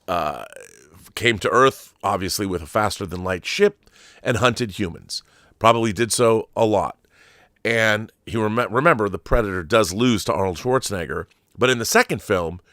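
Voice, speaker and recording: Man, middle-aged, lower-pitched, dry sound, opinionated conversational host, emphatic, digressive, unscripted film commentary. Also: a bit bright, dry recording.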